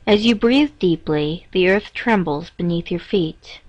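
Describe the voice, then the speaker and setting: Very slow woman guiding a meditation. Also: lowish voice. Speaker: guided meditation reader. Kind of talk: slow scripted guided meditation narration. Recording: dull, roomy echoing lo-fi recording.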